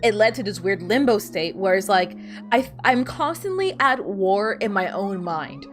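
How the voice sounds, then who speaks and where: A woman's voice: medium pitch, very animated, measured pace, soft background music. A woman whose first language is Spanish, chatting casually with viewers while she plays a video game, rambling and often restarting her sentences. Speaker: streamer.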